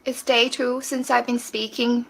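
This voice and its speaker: A woman from Australia tells her story in a clip played on air. Her voice is high, and she speaks plainly and matter-of-factly. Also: flat delivery, measured pace, vocal fry, a bit bright. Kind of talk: self-recorded video clip.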